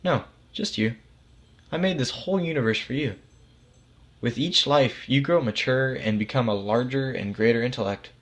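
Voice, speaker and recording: A man's voice: mid-range voice, normal speed, lightly acted. A man voicing God in a story as a casual, reassuring authority figure who explains things patiently and matter-of-factly. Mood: earnest, explaining. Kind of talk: acted storybook dialogue.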